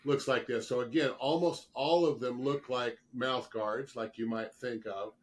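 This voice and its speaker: A man with a slightly rough voice from a lingering cold, explaining earnestly and emphatically as a guest expert in an interview. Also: mid-range voice, fairly even intonation, unhurried. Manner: earnest, emphatic, repeats words for stress. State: getting over a cold, throat clearing and coughing. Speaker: guest expert, dentist specializing in dental sleep medicine.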